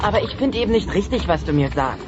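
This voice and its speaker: An actor playing a defiant but affectionate teenage dinosaur son in a radio play, youthful and exclamatory. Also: male voice, sits high, expressive, fast, background noise. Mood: earnest. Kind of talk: German-dubbed sitcom radio play. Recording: dull cassette transfer.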